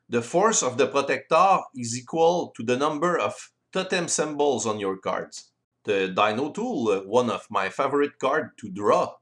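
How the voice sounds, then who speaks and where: A man's voice: mid-range voice, a bit bright. French-accented man explaining game rules. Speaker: board game designer and presenter. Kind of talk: explanatory tutorial voice-over.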